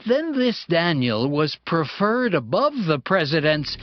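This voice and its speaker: A man narrating scripture from a script in a solemn, deliberate way. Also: a little high, sing-song, slight background noise. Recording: dull lo-fi audio with music.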